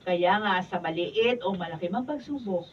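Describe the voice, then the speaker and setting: Woman acting steadfast encouraging wife, very animated pitch, radio drama, muffled distant recording. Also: normal speed, natural voice. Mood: teasing, encouraging. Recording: distant, muffled, noisy studio camera audio.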